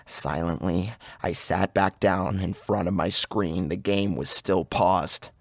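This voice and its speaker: A man's voice: low voice, steady tone, slow pace, vocal fry, no echo, clean studio sound. A man narrating a first-person horror story in a grave, intense, dramatic manner. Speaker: audiobook narrator. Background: music.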